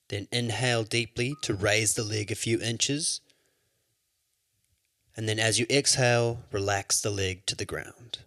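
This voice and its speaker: A man leading a guided yoga nidra meditation as an instructor, with soothing, measured instructions. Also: on the low side, fairly bright tone, dry recording.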